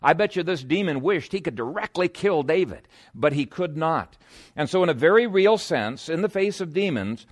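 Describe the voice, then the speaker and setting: Higher-pitched man preaching a sermon. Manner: earnest, emphatic, with rhetorical questions. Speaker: pastor preaching. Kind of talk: expository sermon with storytelling.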